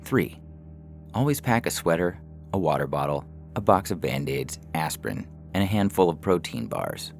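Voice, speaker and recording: A man with an exceptionally deep voice narrates a short story. His tone crackles with gravelly fry, and he phrases deliberately, leaving pauses. Soft music runs faintly underneath. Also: big pitch swings. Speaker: story reader. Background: faint background music.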